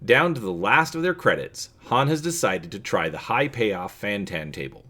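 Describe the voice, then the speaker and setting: Low-pitched man, very animated dramatic reading. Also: normal speed. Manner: expressive, theatrical reading with occasional stumbles. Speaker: reader and channel host. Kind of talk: dramatic storybook narration.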